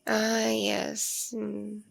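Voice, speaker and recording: A woman playing a lonely, coy vampire mother in an ASMR roleplay, musing slowly in a husky, breathy, creaky voice with soft hums. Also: mid-range voice, completely flat delivery, exaggerated voice. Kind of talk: ASMR audio roleplay, intimate one-sided conversation.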